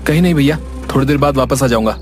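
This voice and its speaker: Young male villager for cartoon tale, played by a man: medium pitch, brisk, earnest, protesting, music bed. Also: lightly acted. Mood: sulky, defiant. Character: hot-headed, earnest young village man seeking work. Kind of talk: cartoon moral story acting.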